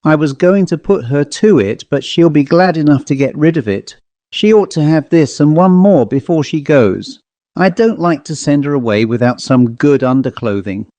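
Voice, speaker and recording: A man's voice: on the high side, expressive, moderate pace, dark tone, no echo, close to an everyday voice. A man voicing a stern, guarded country housewife in a storybook reading, curt, dismissive and evasive. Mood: brisk. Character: stern, guarded rural New England housewife.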